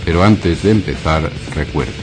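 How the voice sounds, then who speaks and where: A man's voice: extremely low pitch, expressive, normal speed. A man, delivering a scripted, dramatic radio programme introduction. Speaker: radio show announcer. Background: guitar music.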